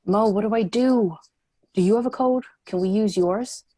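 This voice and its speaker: Woman playing a refugee leader, assertive, wary, direct, mid-pitched, drama read. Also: normal speed, mellow, natural voice. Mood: wary, pressing. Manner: direct, questioning. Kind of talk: screenplay table read.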